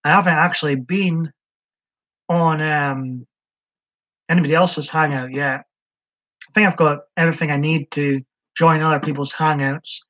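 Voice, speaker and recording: A man's voice: fairly high pitch, fairly bright tone. Chatty man on a dull lo-fi stream. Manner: chatty, hesitant, many ums, answers chat comments. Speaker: live-stream host. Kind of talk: casual live-stream chat with viewers.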